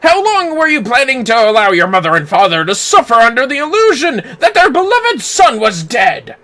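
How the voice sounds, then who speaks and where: Man acting a pompous muscular older dad, game character, theatrical declaiming, high wildly swinging pitch. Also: wildly swooping pitch, moderate pace, pushed and bright, heavily acted. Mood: reproachful. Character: bombastic, hip-gyrating muscular older father, grandly theatrical.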